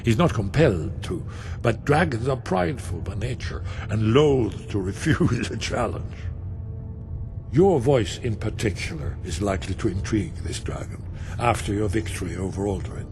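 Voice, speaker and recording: An actor playing a scholarly older archivist of a warrior order in a fantasy game, deliberate and expository. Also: male voice, on the low side, expressive, darkish tone. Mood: eager, explaining. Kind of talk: fantasy video game voice acting. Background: music.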